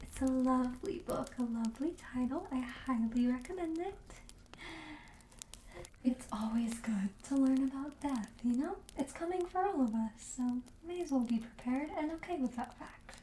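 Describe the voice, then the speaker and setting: Soft, high-voiced witch shopkeeper played by a woman, whispery. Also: expressive, moderate pace, roomy sound, a little hiss or hum, rough recording. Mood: softly matter-of-fact. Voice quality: soft, breathy, often dropping to a whisper. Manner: gentle, coaxing sales patter with casual hesitations. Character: friendly, darkly quirky witch shopkeeper peddling curiosities. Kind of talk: ASMR roleplay, soft speaking and whispering.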